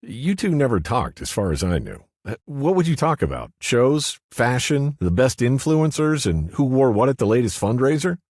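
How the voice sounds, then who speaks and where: A sharp-tongued ghostly woman, once a gym teacher, voiced by a man in an audiobook. Her voice sits well above the reader's own, husky and swinging in pitch as she teases. The read is dry and close. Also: big pitch swings, no echo. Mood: exasperated. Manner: teasing, quick, sharp-tongued. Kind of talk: audiobook character voice.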